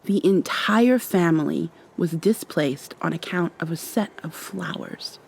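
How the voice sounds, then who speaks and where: A gentle young vampire girl played by a woman talks to her girlfriend in an intimate ASMR roleplay. Her voice is mellow and fairly low, and she takes her time, tender and thoughtful, pausing as she confides. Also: gravelly, slightly rough, exaggerated voice, poor audio. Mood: confiding, wry. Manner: soft, tender, unhurried, thoughtful pauses.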